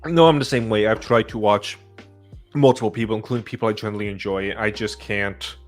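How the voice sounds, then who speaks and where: A man's voice: lowish voice, steady tone, brisk, soft background music. A man chatting casually as a panelist on a podcast about games.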